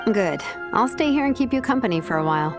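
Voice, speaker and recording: A woman voicing a perceptive, protective big-sister figure in a video game, frank, measured and reassuring. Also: mid-range voice, expressive, over music, close to an everyday voice. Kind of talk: scripted video game voice acting.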